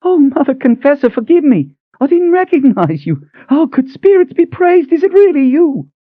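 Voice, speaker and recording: A man voicing a thin, excitable older woman who runs a palace kitchen, gushing in a high voice. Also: normal speed, no echo. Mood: tearful joy. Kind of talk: audiobook character voice. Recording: narrow tinny phone-like sound.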